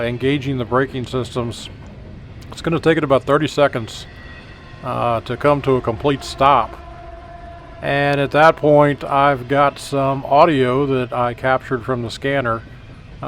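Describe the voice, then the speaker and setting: A man's voice: mid-range voice, a little hiss or hum. A man hosting a railfan video, narrating in a casual, conversational way. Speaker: host of a railfan video channel.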